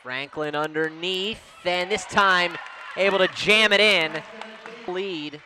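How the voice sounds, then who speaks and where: A man calling a basketball game play by play in short, excited bursts. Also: high-pitched, takes it slowly. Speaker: play-by-play commentator. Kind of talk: sports commentary. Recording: game broadcast with loud arena crowd and noise.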